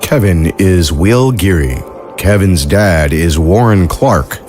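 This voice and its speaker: A man's voice: low voice, expressive, slow pace, darkish tone. A man hosting an audio fiction podcast, reading scripted credits as its producer. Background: music.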